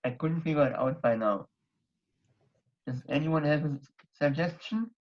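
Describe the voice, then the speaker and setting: A man's voice: fairly high pitch, normal speed, darkish tone. A young man from Germany speaking English with a German accent, giving a hesitant online talk full of fillers and restarts. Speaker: presenter at a society members night. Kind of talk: casual online talk with slides. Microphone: computer mic on a video call. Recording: dull lo-fi video call audio.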